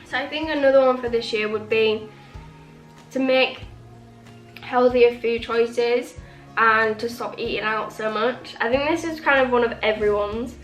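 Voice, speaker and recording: A young woman vlogging to camera, a little high in pitch and sniffly with a cold. She rambles along chattily, full of "like". Her voice rings in an echoey room with faint music underneath. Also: fairly even intonation, measured pace. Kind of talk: casual chat to camera.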